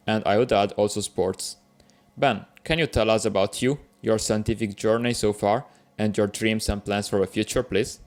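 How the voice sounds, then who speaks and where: Earnest young male podcast host. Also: fairly low pitch. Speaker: podcast host, PhD student. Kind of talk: scripted podcast intro then conversation.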